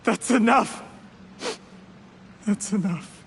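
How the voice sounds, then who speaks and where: A man's voice: high voice, drawn-out words, creaky, background noise, full character voice. Scheming young man, defensive. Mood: anguished. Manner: self-justifying, dramatic. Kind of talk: video game voice acting.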